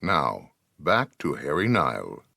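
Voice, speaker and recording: A man's voice: a bass voice, wildly swooping pitch, slow, vocal fry, bright, forceful tone. A man giving scripted announcements as the announcer of a radio drama.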